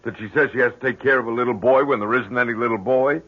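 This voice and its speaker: An actor playing a worried, stubborn middle-aged father in an old radio drama, halting, earnest and insistent with a husky voice. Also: mid-range voice, steady tone, lightly acted. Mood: stubborn, agitated. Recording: very muffled vintage radio recording.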